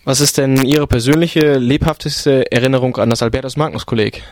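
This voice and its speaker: A man's voice: medium pitch, fairly even intonation, a little fast, no room sound. A man asking short, friendly interview questions.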